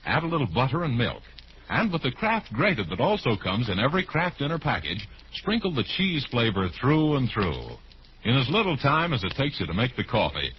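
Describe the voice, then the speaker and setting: A man's voice: mid-range voice, husky. A man announcing a scripted advertisement in 1940s radio style. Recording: muffled, hissy old radio recording.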